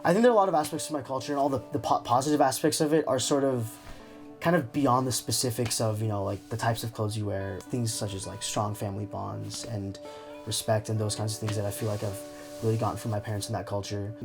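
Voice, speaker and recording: A man's voice: on the low side, steady tone, fast talker, music in the background. A young man speaking candidly and reflectively in an interview, often hesitating as he searches for his words. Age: young adult. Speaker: interview subject.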